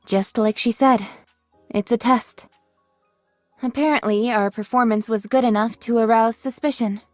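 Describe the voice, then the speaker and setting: A woman as a composed, higher-voiced young soldier. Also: fairly even intonation, moderate pace, no echo, soft background music, lightly acted. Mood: matter-of-fact. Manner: composed, matter-of-fact. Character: calm, level-headed young female soldier. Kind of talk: English video game voice acting.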